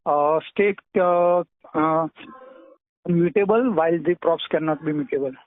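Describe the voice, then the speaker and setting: A man with an Indian accent answering technical interview questions over the phone, hesitant and halting, often clearing his throat. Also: fairly high pitch, no echo. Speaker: job candidate being interviewed. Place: phone call. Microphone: telephone. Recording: tinny narrowband telephone line.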